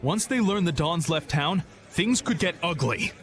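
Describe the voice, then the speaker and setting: An actor playing a proud, loyal, agitated guild fighter in a video game, with fervent, declamatory delivery. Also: male voice, on the high side, expressive, unhurried. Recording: music under the voice.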